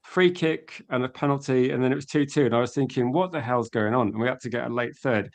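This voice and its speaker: Man hosting casually, mid-pitched voice. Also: quick pace, creaky. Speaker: host of a football fan call-in. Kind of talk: casual chat.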